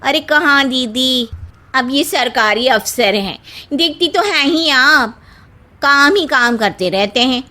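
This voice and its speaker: A woman's voice: high-pitched, animated, takes it slowly, sharp tone, exaggerated voice. A woman voicing a polite, chatty younger married cousin in a family story, speaking deferentially. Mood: wistful, complaining. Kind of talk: dramatised Hindi family storytelling.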